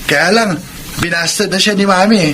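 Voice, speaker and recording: Man acting as high-voiced eager young Filipino son. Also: steady tone, moderate pace, natural voice. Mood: tattling. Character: polite, chatty young son and grandson. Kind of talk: Filipino radio drama acting. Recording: noisy radio drama broadcast.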